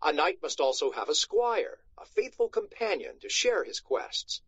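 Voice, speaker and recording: A man reading a storybook aloud to schoolchildren in an expressive, dramatic narrating style, on a slightly muffled recording. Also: high-pitched, normal speed, husky, no room sound.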